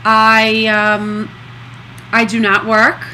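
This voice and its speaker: A middle-aged woman in a casual video diary, talking slowly and earnestly with a congested nose and many pauses. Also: fairly high pitch, completely flat delivery, bright, forceful tone, slight background noise, poor audio. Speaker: vlogger recovering from nose surgery.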